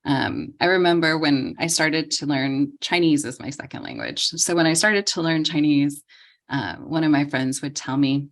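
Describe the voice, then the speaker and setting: A woman leading a training session for volunteer tutors, explaining calmly and often pausing on "um". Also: sits low. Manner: calm, explanatory, frequent ums. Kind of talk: training lecture.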